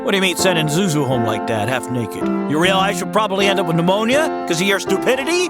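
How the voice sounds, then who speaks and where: A man's voice: sits high, animated, fast talker. A man acts a desperate small-town father in vintage radio style. The voice swings widely, exasperated and apt to stammer, with prominent music behind it. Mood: angry. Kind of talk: old-time radio drama acting.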